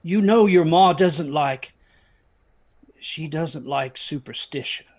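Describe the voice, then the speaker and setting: A man's voice: fairly high pitch, takes it slowly, darkish tone. An actor playing a protective, haunted father who tells his children a ghost story in a hesitant, earnest way, in an audio drama. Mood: anxious, protective. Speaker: storyteller narrator.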